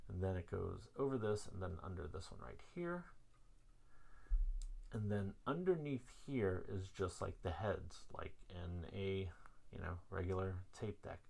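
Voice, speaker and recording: Man, deep voice, husky, informal vlog demo, rambling, self-deprecating. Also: measured pace, a little mellow. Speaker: vlog host. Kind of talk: casual hands-on gear demonstration.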